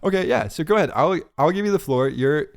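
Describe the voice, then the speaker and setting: A man's voice: a little high, animated, fast, creaky. A young man co-hosting a podcast in casual chat, laughing often and teasing his friend. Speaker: podcast co-host.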